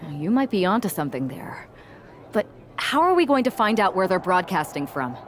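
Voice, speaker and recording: A woman's voice: mid-range voice, sing-song, moderate pace, natural voice. An actress playing a determined, commanding female wrestler in a video game.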